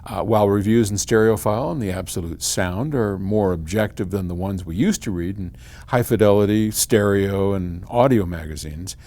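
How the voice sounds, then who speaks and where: A man's voice: lowish voice, darkish tone. An older American man talking casually to the camera, explaining things in a frank, conversational way with folksy emphasis. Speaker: company head answering customer questions in a video series.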